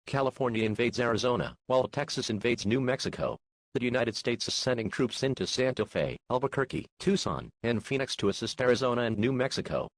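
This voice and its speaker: A man's voice: medium pitch, fairly quick, dry recording, lightly acted. A man playing a stern official announcer, reading a scripted emergency alert in a formal, instructing way. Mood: stern, urgent.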